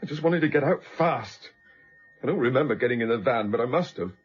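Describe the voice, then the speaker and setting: Husky, panicked murder suspect. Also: male voice, mid-range voice, animated, brisk, highs cut off. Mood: shaken, bleak. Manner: agitated, defensive, clipped. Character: panicked, defensive male murder suspect, pleading innocence. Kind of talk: British radio drama acting.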